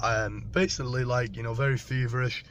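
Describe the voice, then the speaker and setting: A hoarse British man, his voice partly gone, talking through a casual spoken diary in a rambling way with many fillers and the odd swear. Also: mid-range voice, fairly even intonation, a little fast. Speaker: powerlifter vlogging about a meet. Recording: dull lo-fi recording with clear background noise.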